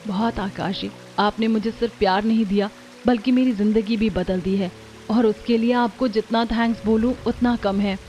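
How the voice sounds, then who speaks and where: A woman's voice: medium pitch, fairly even intonation, normal speed, warm tone, faint music behind, natural voice. A woman voicing a sweet, grateful, emotional young daughter-in-law in a cartoon story.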